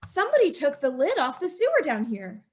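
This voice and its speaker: A woman's voice: sits high, wildly swooping pitch, a little fast, a little mellow. A woman playing a scheming, guilt-ridden young wife who confesses to murder in feverish, insistent old-time radio style. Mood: cold recollection. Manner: feverish, melodramatic, insistent repetition.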